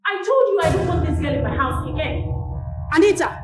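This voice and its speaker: A distraught shrill wife. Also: female voice, expressive, fairly quick, over music. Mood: angry. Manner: shrill, agitated, tearful. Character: distraught frightened wife, angry then tearful. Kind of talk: film drama acting.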